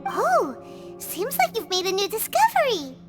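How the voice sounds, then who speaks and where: Squeaky little fairy girl. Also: huge pitch swings, measured pace, full character voice. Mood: curious. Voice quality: squeaky, childlike. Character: small childlike floating fairy girl companion, curious. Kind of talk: game voice acting. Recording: music behind the voice.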